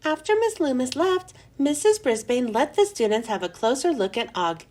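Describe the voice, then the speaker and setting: A woman reading a children's chapter book aloud in a lively, expressive storybook manner. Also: high voice, normal speed.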